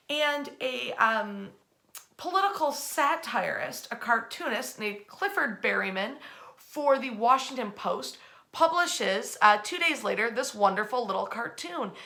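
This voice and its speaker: A woman hosting an informal history storytime from her bed at home, chatty and animated, using colloquial phrasing as she speaks to an online audience. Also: a little high. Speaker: host of a nightly history storytime.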